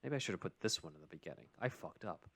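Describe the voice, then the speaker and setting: Male podcast host, low pitch, explains things in an informal, conversational register. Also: animated, racing, gravelly, a bit bright. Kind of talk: casual discussion and ad reads.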